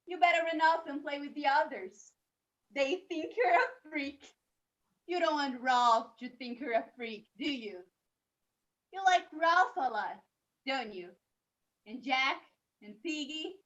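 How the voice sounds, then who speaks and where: Woman voicing a slow, taunting, menacing beast. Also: high voice, lively intonation, a bit bright, dull audio, exaggerated voice. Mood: mocking. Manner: mocking, slow, insinuating. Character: taunting, menacing beast, a talking pig's head. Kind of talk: theatrical stage monologue.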